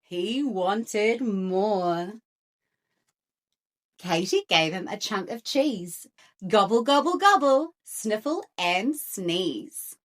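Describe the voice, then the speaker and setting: A woman reading a children's picture book aloud with expressive, rhythmic phrasing. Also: medium pitch, drawn-out words. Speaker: storyteller.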